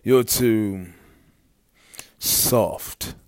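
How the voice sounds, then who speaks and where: A man's voice: fairly low pitch, very slow, a little mellow, dry recording, studio quality. A man voicing a boastful, scornful young prince who is an elder brother in a fantasy story. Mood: scornful boasting. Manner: proud, taunting.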